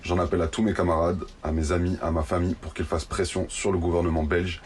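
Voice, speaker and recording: Deep, fast, flat-voiced man. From Belgium. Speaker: activist in a recorded video message. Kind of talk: prepared statement to camera.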